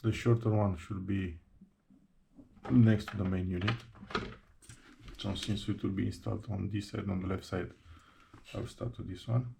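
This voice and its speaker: Low, gravelly man giving halting instructions. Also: fairly even intonation, background noise. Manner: halting, speaks in short broken phrases. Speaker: presenter of a how-to installation tutorial. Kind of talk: step-by-step hands-on tutorial narration.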